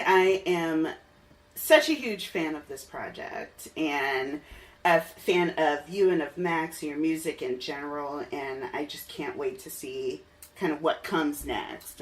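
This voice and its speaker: A woman's voice: deep voice, far from the mic, poor audio. A woman hosting a casual podcast interview in an informal, enthusiastic way.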